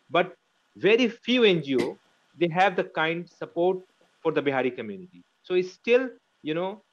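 Man, earnest, on a dull video call. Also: a little high. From Bangladesh. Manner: earnest, explanatory, repeats words as he goes. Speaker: advocate, guest speaker. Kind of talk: answering questions in an online talk. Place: small room indoors. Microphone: earphones on a video call.

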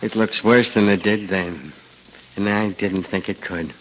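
A man's voice: fairly low pitch, unhurried, natural voice. An actor in a 1940s radio drama playing a lazy, wry old father who drawls dryly. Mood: wry, resigned. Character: lazy old father waking from twenty-year sleep, henpecked, wry. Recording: muffled old 1940s radio recording, faint noise.

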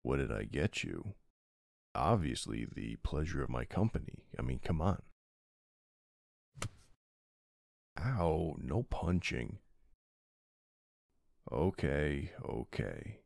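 A man playing a tough but soft-hearted mafia boss husband in an intimate ASMR roleplay, murmuring tenderly with a very deep, gravelly voice. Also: sing-song, unhurried, dry recording, studio quality, heavily acted. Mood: teasing, affectionate.

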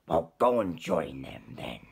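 A man's voice: very deep voice, very animated, takes it slowly, vocal fry, slightly rough, voice pushed down, exaggerated voice. A man voicing a grumpy, miserly lobster in a storybook, growling and grumbling over his treasure.

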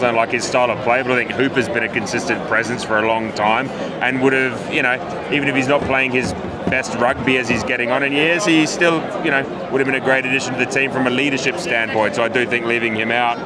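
A man's voice: medium pitch, fairly even intonation, fast. A man in his thirties, a rugby fan talking casually and candidly after a match, with many fillers, outside a stadium at night, into a handheld mic. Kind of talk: casual post-match chat. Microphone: handheld mic held by interviewer. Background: loud crowd.

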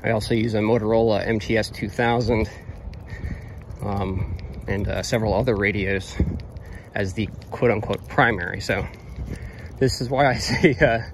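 A man's voice: lowish voice, steady tone, moderate pace. Hesitant American man on a noisy street. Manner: hesitant, rambling, self-correcting. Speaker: radio hobbyist presenter. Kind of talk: casual product demonstration. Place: outdoors on a roadside sidewalk. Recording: noisy outdoor recording with traffic.

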